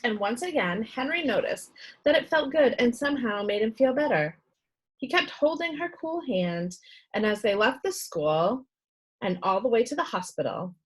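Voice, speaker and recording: Husky, animated woman reading a storybook. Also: mid-range voice, rough recording. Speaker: storyteller reading a children's book aloud. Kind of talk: expressive read-aloud narration.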